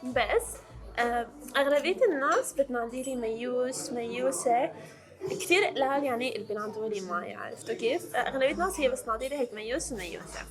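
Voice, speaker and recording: A woman's voice: high voice, lively intonation, quick pace, over music. A woman in her early twenties with a Levantine Arabic accent, chatting casually to camera as a vlog host and slipping in English words. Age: early 20s.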